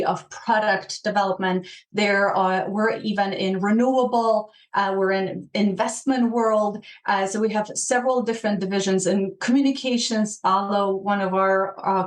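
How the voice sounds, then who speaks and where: A woman's voice: mid-range voice, far from the mic. A woman from Poland with a Polish accent, talking as an interview guest in a thoughtful way with many fillers and restarts. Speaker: guest, program and change management director. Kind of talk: remote interview.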